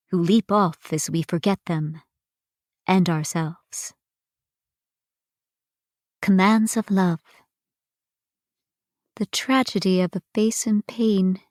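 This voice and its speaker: A woman performing a dramatic poetry recitation with measured, expressive phrasing. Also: medium pitch, dry recording, clean studio sound.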